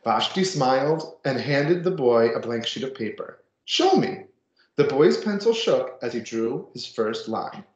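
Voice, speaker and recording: A man's voice: medium pitch, lively intonation, measured pace, few pauses, roomy sound, lo-fi sound. A man reading a children's picture book aloud with casual asides, expressively and with dramatic emphasis. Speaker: storybook reader.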